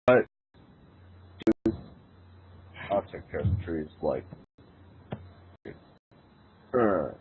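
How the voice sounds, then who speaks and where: A man's voice: deep voice, big pitch swings, drawn-out words, long pauses. A man reading casual dialogue in an amateur style. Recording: tinny, band-limited, noisy amateur recording.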